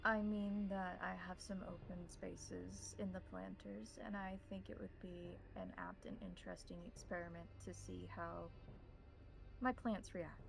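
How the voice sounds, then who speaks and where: A woman speaking as game master in measured, formal phrases, improvising roleplay and narration. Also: lowish voice, lively intonation, creaky, music in the background, poor audio.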